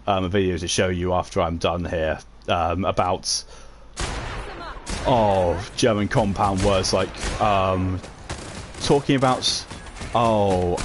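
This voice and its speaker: A man with a low voice chatting casually while he streams a game. He rambles and answers viewers' messages, often with a chuckle and a self-deprecating aside. A faint hiss runs underneath. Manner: reads and answers chat messages while playing. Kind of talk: casual gaming stream chat.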